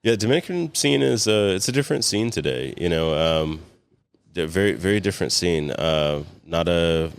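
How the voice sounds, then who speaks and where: A man with a strikingly low, smooth, resonant voice. He talks loosely, full of fillers and restarts, with frequent chuckles. He sounds like a guest in a casual sit-down interview. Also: lively intonation, normal speed, dry recording. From California. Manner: rambling, many fillers and restarts, chuckles often. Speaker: guest, cigar company co-founder.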